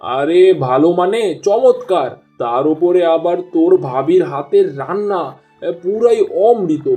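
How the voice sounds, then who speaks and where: A man's voice: high-pitched, animated, a little slow, warm tone, faint music behind. A man voicing an eager, friendly village fisherman in a cartoon dub. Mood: jovial. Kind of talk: cartoon dubbing.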